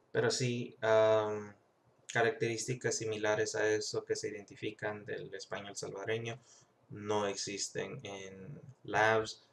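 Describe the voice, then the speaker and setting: A young man from the United States with a Mexican-influenced Spanish accent, talking through a class reading in a reflective vlog, with many fillers and restarts. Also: fairly low pitch. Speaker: student.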